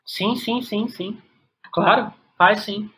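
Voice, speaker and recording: A Brazilian man speaking reflectively as an interview guest, often halting and repeating words as he thinks aloud. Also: high-pitched, steady tone, sharp tone, rough recording. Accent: Brazilian Portuguese. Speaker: podcast guest, playwright and director.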